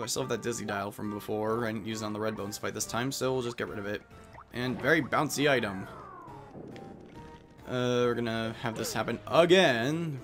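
A man gives casual commentary as a game playthrough host. His voice sits at an average pitch, and he rambles chattily while soft game music plays behind him. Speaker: Let's Play host. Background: video game music.

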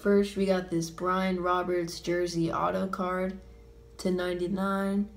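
A teenage boy joining his friend's card-opening video, chatting with quick reactions. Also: high voice, flat delivery, vocal fry, echoey room. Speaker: co-host friend. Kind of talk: casual card-opening chat. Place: home office at a desk, indoors.

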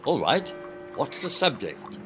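Man playing a stubborn, animated general. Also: medium pitch, measured pace, over music. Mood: dry, formal. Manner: emphatic, pedantic, theatrical. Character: stubborn, proud military general, formal and argumentative. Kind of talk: amateur radio play acting.

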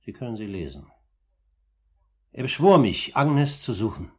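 An actor playing a composed older uncle in a 1950s German radio play, speaking formally and deliberately with firm, accusing emphasis in a husky voice. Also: male voice, medium pitch, sing-song. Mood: insistent, grave.

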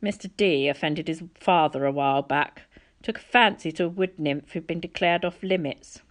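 A woman voicing a calm, wise older male teacher in a storybook, measured and tactful. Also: deep voice, close to an everyday voice.

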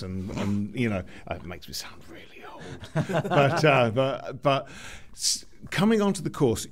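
Middle-aged male guest, low register, thoughtful and halting interview delivery. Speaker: student guest. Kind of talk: reflective interview talk.

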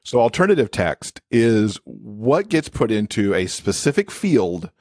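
A man's voice: lowish voice, sing-song, measured pace, a little mellow, dry recording, studio quality. A man speaking as an expert podcast guest, explaining things in casual interview talk.

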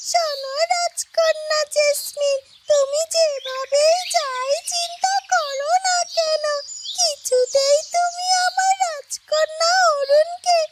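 A woman voicing a tiny, protective, fiercely loyal cartoon character who speaks in a slow, suspicious manner. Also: very high-pitched. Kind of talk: cartoon inner monologue.

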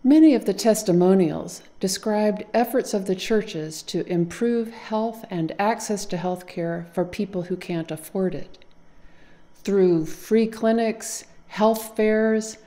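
A middle-aged woman narrating to camera in a measured way, recorded on a lapel mic indoors. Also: mid-range voice, warm tone. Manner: slow, measured delivery. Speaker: narrator, council director. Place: indoor room with wall decor.

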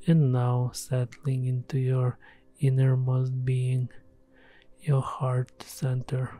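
Casting: man for guided meditation, soft dark tone, very slow and gentle, measured delivery. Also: medium pitch, no echo. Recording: soft music behind the voice. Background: background music.

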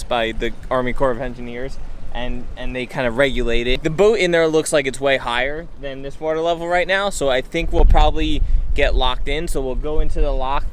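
An American man in his thirties chatting casually to camera as a sailing vlogger, explaining things in a laid-back way. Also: medium pitch. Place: open deck of a sailboat on water. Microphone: handheld camera at arm's length. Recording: outdoor camera mic, loud wind and boat noise.

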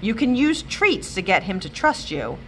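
A woman voicing a calm, kind woman who fosters animals, in a storybook read-aloud. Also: mid-range voice, normal speed, slight background noise, close to an everyday voice.

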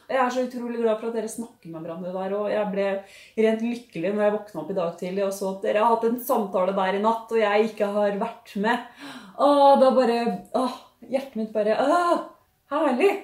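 A young woman with a Norwegian accent chatting in a casual haul vlog, gushing and expressive, with lots of sighs and fillers. She sits at a living-room table in front of a fixed camera. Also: medium pitch, reverberant. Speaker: beauty and lifestyle vlogger. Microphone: fixed camera at table distance.

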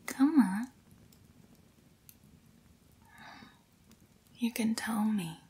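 A woman's voice: medium pitch, a little hiss or hum. A young woman voicing an affectionate, teasing, playful girlfriend in a whispered, intimate ASMR roleplay. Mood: gentle, coaxing. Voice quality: breathy, whispery. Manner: slow, playful, teasing, with sighs and soft chuckles.

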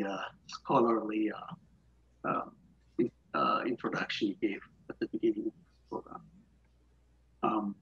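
Ethiopian guy, amharic first language, husky voice, lots of uhs, muffled video call sound. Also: on the low side. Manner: halting, with many fillers. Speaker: poet. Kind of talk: speaking at an online poetry event. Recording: dull video call audio.

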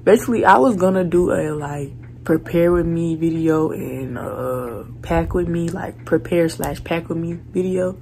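A young woman vlogger chatting casually to her phone camera in a small bedroom, rambling and low-energy with many fillers. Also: unhurried, hardly any pauses, mellow, slight background noise. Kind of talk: casual vlog chat to camera. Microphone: phone camera at arm's length.

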